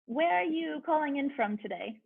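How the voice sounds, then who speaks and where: A woman's voice: high voice, expressive, normal speed, creaky, dry recording. A woman hosting an online talk, giving a friendly, scripted introduction over a video call. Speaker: host of a club talk series. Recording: tinny phone-like call audio.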